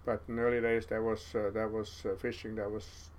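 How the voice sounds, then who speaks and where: A man in his seventies with a Norwegian accent, telling stories as an interview guest in a halting way, with many restarts. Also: lowish voice, flat delivery, slight background noise. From Norway. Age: 70s. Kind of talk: casual storytelling interview.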